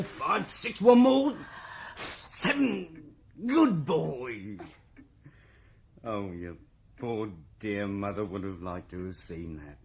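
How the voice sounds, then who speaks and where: A man's voice: medium pitch, a little hiss or hum. An actor playing a homely, plain-spoken working-class English father talking to his young son in a radio drama. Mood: jolly fatherly.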